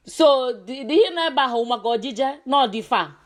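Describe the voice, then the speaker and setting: Higher-voiced woman in casual conversation. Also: expressive, brisk. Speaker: daughter interviewing her mother by phone. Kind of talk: casual phone chat mixing in English.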